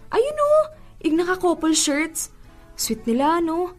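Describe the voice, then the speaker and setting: A woman's voice: extremely high pitch, very animated, takes it slowly, faint music behind, heavily acted. An actress playing a good-humored, skeptical young single woman in radio drama dialogue, bantering expressively and mock-scolding. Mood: playful.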